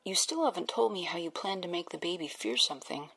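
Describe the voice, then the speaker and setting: A woman's voice: fairly low pitch, expressive, fast, fairly bright tone, husky, heavily acted. An actress playing a young female research assistant who questions plans in a dry, practical way. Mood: skeptical. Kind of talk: audio drama acting.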